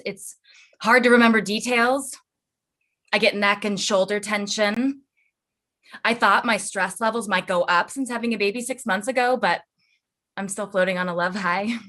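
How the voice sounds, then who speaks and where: A woman's voice: mid-range voice, pushed and bright. An actress playing a devoted, frazzled new mother, candid and conversational in a staged reading.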